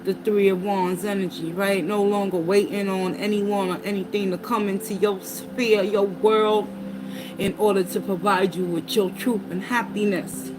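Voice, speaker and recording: A woman of middling pitch runs through a tarot reading, reading card meanings and affirmations aloud and then slipping into casual asides to her viewers. A steady background noise sits under her voice throughout. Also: moderate pace. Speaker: tarot reader.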